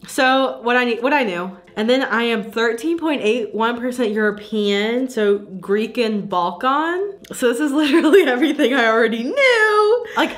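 A woman's voice: a little high, a bit bright. A woman in her twenties talking casually to camera in a vlog, joking and laughing often, filmed at home at arm's length. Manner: chatty, joking, frequent laughs. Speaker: vlogger. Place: home room and car. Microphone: camera or phone at arm's length.

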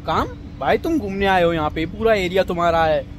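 A man's voice: high-pitched, a little fast. A man, hosting a casual comedic vlog with playful banter. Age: 20s. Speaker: vlog host. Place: open rooftop in a city. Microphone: phone at arm's length. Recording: noisy outdoor phone audio with traffic.

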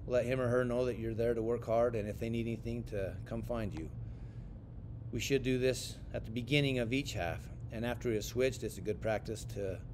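An American man giving scripted training narration as an instructor for sports officials, in a measured, instructional way. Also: darkish tone. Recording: clear rumbling background noise.

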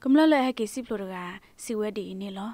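A woman reads scripted news in a fairly high voice. The sound is dry and close, as a radio news presenter would have it. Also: expressive, quick pace, mellow, no echo.

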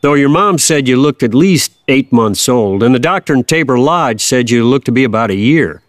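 A man's voice: mid-range voice, animated, normal speed, no echo, studio quality, lightly acted. An actor playing an older Kansas farmer father, plainspoken, folksy and patiently wry, in an audio drama. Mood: reminiscing.

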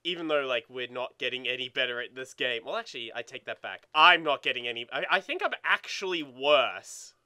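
A young man co-hosting a video game let's play in casual chat, excitable and sweary, often repeating words as he gets going. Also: mid-range voice, brisk, few pauses.

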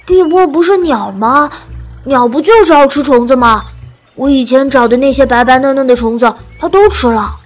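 A cheeky little boy in an audio drama. The voice is very high, childish and clingy, with music underneath. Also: normal speed. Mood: puzzled, proud.

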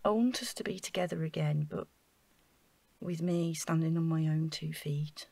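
A woman's voice: deep voice, normal speed, gravelly, darkish tone, no echo. An actress playing an anxious, vulnerable woman in a naturalistic audio drama, hesitant and emotional, with sighs. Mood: tender, earnest. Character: Rachel, anxious, vulnerable young woman, apologetic and earnest.

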